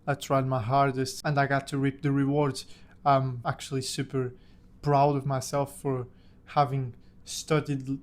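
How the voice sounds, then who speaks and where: A young man from Portugal, a native Portuguese speaker, casually telling a personal story about himself in English. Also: mid-range voice. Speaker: language-learning content creator.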